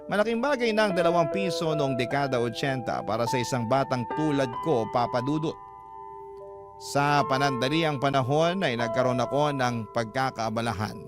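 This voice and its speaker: A man's voice: mid-range voice, moderate pace, lightly acted. A man with a Filipino accent narrating a first-person story for a radio drama. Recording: loud music under the voice. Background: loud instrumental music.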